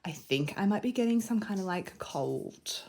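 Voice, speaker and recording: A woman in her thirties talks casually to her camera, filling her sentences with "like" and soft little laughs. Her voice is a touch croaky from a cold, and her pitch sits in an ordinary middle range. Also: expressive, normal speed. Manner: chatty, lots of "like" and little laughs. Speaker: vlogger. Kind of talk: casual vlog chat.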